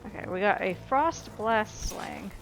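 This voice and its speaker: A woman gamer chats casually through her own playthrough. Her pitch moves around in a lively way and her delivery is informal and sweary. Game noise runs clearly behind her. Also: measured pace.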